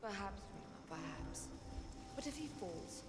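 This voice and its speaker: A woman's voice: medium pitch, lively intonation, a little slow. An actress voicing a haughty, scheming queen who speaks with regal scorn in a game. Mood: scornful. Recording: game audio with ambient noise.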